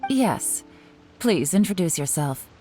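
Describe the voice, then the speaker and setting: A mellow, composed lady in command. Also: fairly low pitch, very animated, slow. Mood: businesslike. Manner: composed, assured, slightly sly. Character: poised, coolly teasing woman in command of an assessment. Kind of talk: video game voice acting. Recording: faint music behind.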